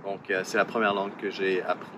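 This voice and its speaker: Low-voiced man from Madagascar. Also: little pitch movement, fast. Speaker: community association worker. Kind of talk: interview answers. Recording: outdoor street noise.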